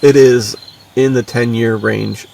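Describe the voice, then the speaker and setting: A man chatting casually and upbeat as a podcast co-host, in relaxed slang. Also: medium pitch, slow, creaky, far from the mic, slight background noise, rough recording. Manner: laid-back slang, laughs easily.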